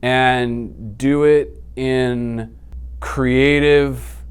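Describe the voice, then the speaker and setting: A man, chatting casually off the cuff in a slangy, animated manner as an interview guest. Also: mid-range voice, drawn-out words, smooth. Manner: animated, slangy, blurts sound effects. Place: small indoor office, seated.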